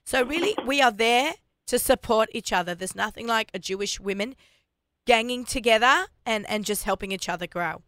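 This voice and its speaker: A woman hosting a radio show, chatty and enthusiastic, with a hoarse voice, talking in a studio. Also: on the high side, animated, normal speed, fairly bright tone, no echo. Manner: enthusiastic, chatty, laughs often. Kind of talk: casual radio chat.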